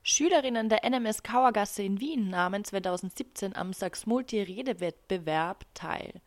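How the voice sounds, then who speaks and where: A woman reading a calm, scripted radio narration. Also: medium pitch, moderate pace.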